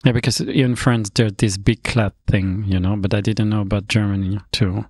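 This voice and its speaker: Male podcast host, low voice, friendly, casual questions; dry close studio mic. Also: steady tone, normal speed, a little mellow, no room sound. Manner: friendly, loose, many fillers. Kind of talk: casual interview conversation. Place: studio room with table. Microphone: studio mic with headphones.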